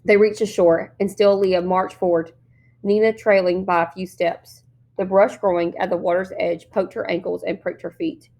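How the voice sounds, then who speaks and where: A woman reading a novel aloud as an expressive storyteller, narrating the scenes. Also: mid-range voice, moderate pace. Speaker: story reader.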